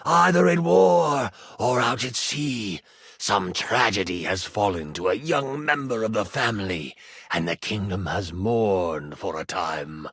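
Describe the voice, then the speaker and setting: Slow, gravelly evil immortal king. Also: lowish voice, expressive, a bit bright, dry recording, clean studio sound, exaggerated voice. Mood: grandiose, brooding. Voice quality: gravelly, husky. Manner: slow, grandiose monologuing. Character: ancient evil immortal king, cold, menacing villain. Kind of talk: video game villain acting.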